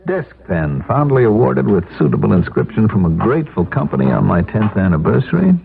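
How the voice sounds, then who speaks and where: A middle-aged office man, wry and resigned, with a hesitant edge of self-doubt. His low voice moves in lively swings, in the manner of seventies radio drama. The recording is thin and tinny. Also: a little fast, gravelly, heavily acted. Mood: wry resignation. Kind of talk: 1970s radio drama acting. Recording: tinny, noisy old radio broadcast recording.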